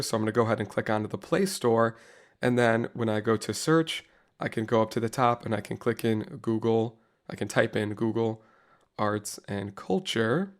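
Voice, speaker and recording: A man with a cold and a slightly raspy voice, talking casually and in a friendly way as he leads an online teacher course through a software tutorial. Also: lowish voice. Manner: casual, friendly walkthrough with frequent "go ahead" and fillers. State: under the weather, slightly raspy voice. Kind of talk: screen-recorded software tutorial.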